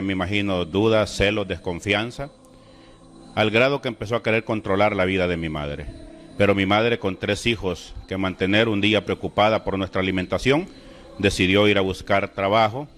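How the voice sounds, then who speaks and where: Salvadoran man, middle-aged, lowish voice, measured and solemn testimony delivery. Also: a bit bright, a little hiss or hum. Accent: Salvadoran Spanish. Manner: slow, deliberate, solemn storytelling with emotional rises. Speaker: testimony speaker. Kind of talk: personal religious testimony.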